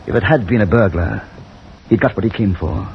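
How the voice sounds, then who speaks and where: An actor playing a brooding army colonel, measured and grave, in a vintage wartime radio drama. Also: male voice, on the low side, lively intonation. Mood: brooding, tense. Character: brooding British army colonel narrating his wartime story. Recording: muffled old radio recording, loud noise.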